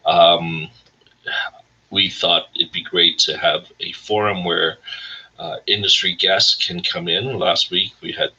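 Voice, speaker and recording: A man with a husky voice hosting a casual interview show over an online call. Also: low-pitched, steady tone, takes it slowly, bright, forceful tone, dull audio. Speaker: host of a weekly music career show.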